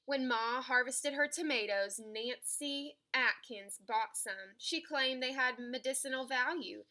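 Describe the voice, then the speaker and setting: A woman reading a children's picture book aloud in an expressive storytelling style. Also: high-pitched, a little slow. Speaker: storybook reader.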